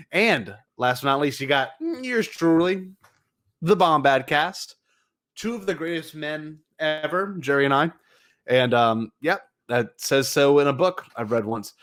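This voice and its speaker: A man's voice: on the high side, a bit bright. A man hosting a podcast in casual, joking chat, rambling and playfully hyping things up. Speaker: podcast host.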